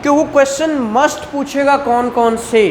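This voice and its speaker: A man's voice: very high-pitched, steady tone, moderate pace, a little hiss or hum. A man with a Pakistani Punjabi accent giving a didactic classroom law lecture, repeating points and questioning students. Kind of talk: classroom lecture, code-switching into English terms.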